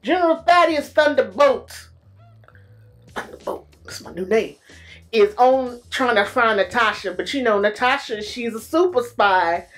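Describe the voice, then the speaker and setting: Animated, slangy woman recapping a movie. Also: fairly high pitch, a little slow, soft background music. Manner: animated, slangy storytelling with playful exclamations. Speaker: movie reviewer.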